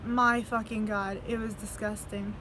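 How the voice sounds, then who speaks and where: A woman's voice: mid-range voice, little pitch movement, measured pace, noisy background. A young woman telling stories in a casual video diary, rambling, swearing freely and laughing between sentences. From United States. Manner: rambling, profane, laughs often. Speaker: vlogger.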